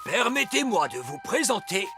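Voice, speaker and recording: A creaky high old cartoon elf, pompous and theatrical, music behind. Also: male voice, sing-song, normal speed. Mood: proud, stern. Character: wise old male elf, grand pedantic inventor. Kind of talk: French-dubbed children's cartoon acting.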